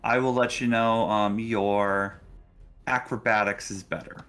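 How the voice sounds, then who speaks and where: A man with a bright, forward voice and a fairly low pitch acts as game master, narrating a tabletop roleplaying session. Faint music plays behind him. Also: steady tone, measured pace.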